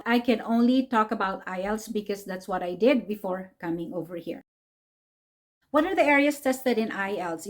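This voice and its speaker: A woman in her forties with a Filipino accent, chatting upbeat to camera from a small home room, often adding a quick "okay?". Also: medium pitch. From Philippines. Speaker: nurse vlogger. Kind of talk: casual explainer vlog.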